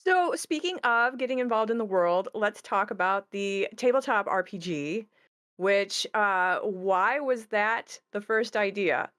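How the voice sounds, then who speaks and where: A woman's voice: medium pitch, measured pace, fairly bright tone, dry recording, studio quality. A woman who creates tabletop games, talking casually and thoughtfully as she explains her work. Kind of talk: casual panel chat.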